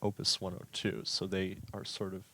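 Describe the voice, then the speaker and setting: A young man from New York City with a low, even voice. He speaks in a flat, musing way and pauses often to find his words. The recording is close and dry. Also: vocal fry, no echo. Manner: thoughtful, musing, hesitant with ums. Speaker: pianist, music student. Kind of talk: radio interview. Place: radio performance studio.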